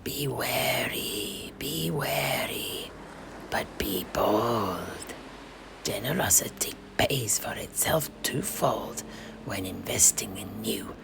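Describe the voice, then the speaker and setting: A man voicing a servile, ominous blind seer in a breathy, creaky whisper, halting and repeating himself. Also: lowish voice, noisy background, exaggerated voice. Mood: ominous pleading. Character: creepy, servile blind old seer with gnarled staff.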